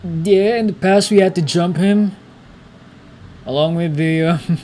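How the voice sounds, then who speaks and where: A man's voice: sits high, normal speed, a little mellow, a little hiss or hum. A man streaming a video game, giving laid-back, slangy reaction commentary over game music.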